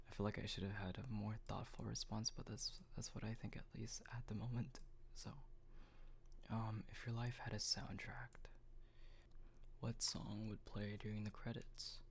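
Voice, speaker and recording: A man, a voice actor, answering fan questions in a soft, half-whispered way, hesitant and full of pauses. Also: lowish voice, fairly even intonation, vocal fry. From Texas, US. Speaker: voice actor, channel host.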